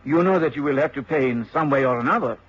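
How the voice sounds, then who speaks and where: An actor playing a suave, menacing blackmailer in a radio drama, with a husky voice and a smooth, insinuating, formal manner. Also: male voice, medium pitch, fast talker, fairly bright tone. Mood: smooth menace. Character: suave, menacing French blackmailer, tall dark man. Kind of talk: British radio drama acting. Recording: dull old radio drama recording.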